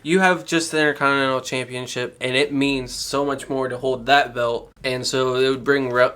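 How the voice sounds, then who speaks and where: A man on a podcast panel giving opinions on pro wrestling in an informal, somewhat halting way. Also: mid-range voice, fairly even intonation, bright, forceful tone. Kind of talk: casual chat about pro wrestling.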